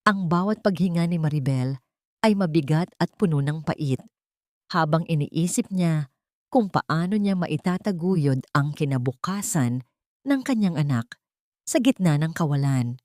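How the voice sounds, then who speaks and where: A woman with a fairly low voice reads a dramatic tale as a storyteller. Her pitch moves in lively swings over solemn, measured, emotive phrasing. The recording is dry and close. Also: no room sound. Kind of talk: dramatic scripted narration of a Tagalog story.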